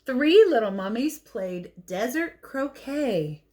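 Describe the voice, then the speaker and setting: A woman reading a picture book aloud to children like a teacher, expressive and chatty, with casual explanatory asides. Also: fairly high pitch, slow.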